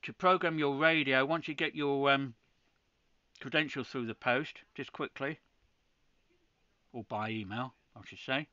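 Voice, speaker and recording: A man's voice: medium pitch, unhurried, long pauses, sharp tone, no room sound, lo-fi sound. A man from the UK hosting a ham radio channel, walking viewers through a setup step by step in a practical tutorial, with frequent restarts. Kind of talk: screen-recorded tutorial.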